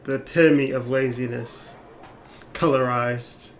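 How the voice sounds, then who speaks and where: A man's voice: mid-range voice, steady tone, measured pace, slight background noise. A man doing casual live gameplay commentary, offhand and muttering to himself in short bursts. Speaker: game streamer.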